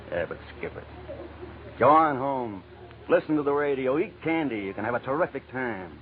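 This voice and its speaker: A man's voice: medium pitch, lively intonation, normal speed, natural voice. A man acting a slick gambler, tinny old radio. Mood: coaxing. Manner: slangy, clipped, slick. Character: smooth-talking male gambler, persuasive, secretly dangerous crook. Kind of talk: 1940s radio drama acting. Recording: tinny, noisy vintage radio recording.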